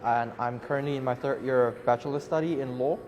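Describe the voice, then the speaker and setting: A man's voice: medium pitch, steady tone, brisk, darkish tone. A man from Malaysia, a law student, introducing himself in a straightforward way. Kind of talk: self-introduction.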